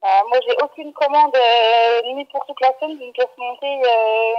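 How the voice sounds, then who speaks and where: A woman's voice: a little high, unhurried, smooth. A woman working as a shop employee, answering a customer phone call in a blunt, flustered, repetitive way. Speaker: bakery employee. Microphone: telephone. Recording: dull, band-limited phone line.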